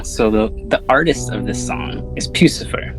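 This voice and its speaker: A man's voice: fairly low pitch, lively intonation, normal speed, over music. A man hosting a podcast in a casual, slangy film discussion, swearing freely. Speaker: podcast host.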